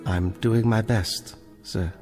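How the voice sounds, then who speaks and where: An actor in a radio drama playing an earnest, bookish librarian on trial, polite and hesitant yet pedantic and defiant. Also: male voice, on the low side, steady tone, takes it slowly, a little mellow, big-room sound, soft background music. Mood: polite, uneasy.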